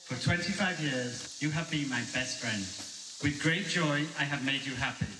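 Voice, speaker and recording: A man reading wedding vows slowly and tenderly at an indoor ceremony. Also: fairly high pitch. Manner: slow, earnest, tender. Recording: echoey, distant, noisy room recording.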